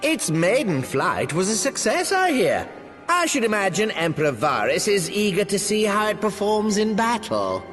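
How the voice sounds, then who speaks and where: An actor playing a sly, pompous wealthy lord in a fantasy video game, with drawn-out, theatrical oratory and archaic phrasing. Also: male voice, high-pitched, wildly swooping pitch, a bit bright, exaggerated voice. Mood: sly, teasing. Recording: long hall reverb, faint music behind.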